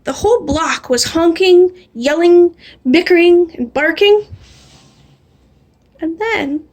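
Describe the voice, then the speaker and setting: High-voiced woman reading a picture book aloud. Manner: animated, drawing out refrains slowly. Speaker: storybook reader. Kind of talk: expressive read-aloud of a children's picture book.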